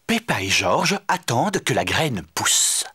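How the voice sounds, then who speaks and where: A man's voice: mid-range voice, big pitch swings, a little slow, bright, forceful tone, breathy edge, soft background music. A man narrating a children's cartoon as a scripted storyteller.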